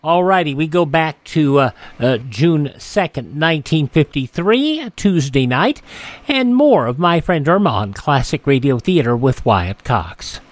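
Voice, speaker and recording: A man hosting a classic radio show, introducing the episode in a relaxed, rambling way. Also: fairly high pitch, measured pace. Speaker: radio host.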